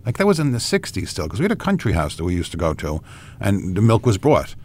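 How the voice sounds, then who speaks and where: A man's voice: on the low side, wildly swooping pitch, fast talker, a little mellow, slight background noise. A man hosting a talk show, chatting casually and affirming his guest often. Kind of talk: casual interview chat.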